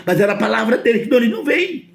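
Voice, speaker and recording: A man's voice: very high voice, expressive, rapid-fire, gravelly. A man from Brazil with a Brazilian Portuguese accent, preaching a sermon emphatically and often asking his listeners whether they understand.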